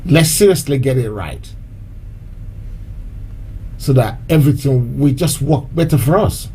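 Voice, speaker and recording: A man's voice: mid-range voice, expressive, unhurried, mellow, a little hiss or hum, low-quality recording. A man hosting a live-stream show, talking in a loose, repetitive way and slipping in short Yoruba phrases. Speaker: host of an online activist show. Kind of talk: casual live-stream address.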